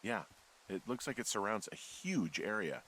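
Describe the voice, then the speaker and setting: A man's voice: on the low side, sing-song, moderate pace, fairly bright tone, slightly rough, heavily acted, poor audio. A man playing a laid-back, middle-aged American fire lookout in a naturalistic video game, dry, understated and a little hesitant. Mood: puzzled.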